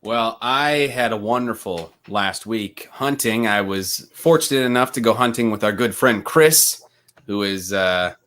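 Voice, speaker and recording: A man co-hosting a casual live chat, his voice a little low and stuffed up from a cold. He talks in a cheerful, chatty way. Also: unhurried, fairly bright tone. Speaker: regular co-host.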